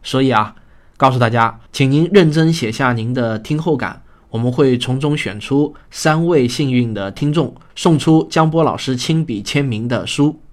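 A male podcast host with a medium-pitched voice talks straight to his listeners. He explains things in a conversational manner, as in a prepared programme sign-off. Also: moderate pace. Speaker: podcast host and radio drama producer. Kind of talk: scripted programme outro, spoken to listeners.

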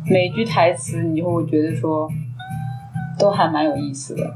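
A woman chats about film as a podcast co-host. She circles around her points and keeps checking for agreement, while music plays clearly behind her. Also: low-pitched, steady tone, moderate pace, poor audio. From Shanghai, China. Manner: conversational, circling phrasing, checking in with tag questions. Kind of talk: casual film discussion.

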